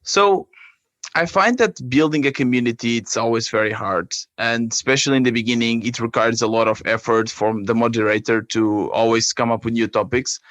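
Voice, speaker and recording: Halting man with a Portuguese accent. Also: mid-range voice, fairly bright tone, no room sound. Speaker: app creator, podcast guest. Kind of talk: conversational podcast interview.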